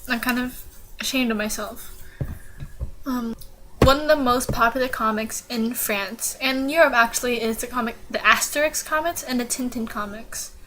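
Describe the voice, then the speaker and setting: A teenage girl vlogging casually, giggling awkwardly and filling pauses with lots of ums. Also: on the high side, background noise. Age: teens. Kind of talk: casual informative vlog.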